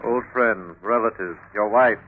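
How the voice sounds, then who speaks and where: An actor in a 1950s radio drama playing a probing, unafraid official with insistent, clipped questions. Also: male voice, fairly low pitch, a little slow, close to an everyday voice. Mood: cool. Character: probing, unafraid official interrogator, coolly challenging. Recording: tinny, hissy 1950s radio recording.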